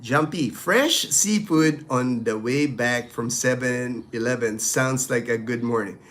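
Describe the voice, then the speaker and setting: A man's voice: mid-range voice, unhurried, fairly bright tone. A middle-aged man from the Philippines, a native Tagalog speaker, hosting a live stream and chatting casually through viewer questions with many fillers and restarts.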